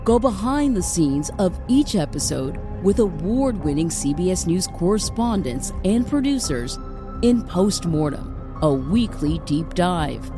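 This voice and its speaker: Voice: mid-range voice, big pitch swings, a little slow. An announcer reading a scripted podcast promo. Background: music.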